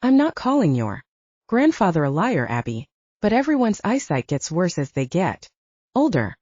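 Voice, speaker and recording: Animated, condescending middle-aged man , read by a woman. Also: lowish voice, moderate pace, no room sound, muffled recording. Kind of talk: audiobook character dialogue.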